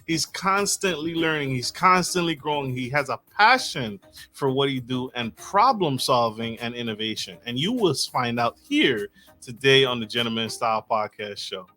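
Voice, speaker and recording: A man's voice: medium pitch, animated, normal speed, bright, forceful tone. A man hosting a podcast, effusive and enthusiastic, giving big introductions and stumbling over names. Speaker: podcast host. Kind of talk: podcast interview.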